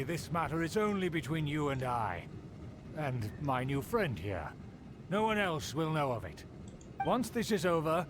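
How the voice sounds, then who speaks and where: An actor playing a haughty, scheming middle-aged aristocrat in a video game, speaking in a pompous, indignant manner. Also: male voice, fairly high pitch, background noise.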